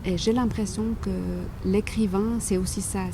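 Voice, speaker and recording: A woman writer answering interview questions in a thoughtful, halting way, full of pauses and restarts. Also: mid-range voice, steady tone, noisy background. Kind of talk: reflective interview talk.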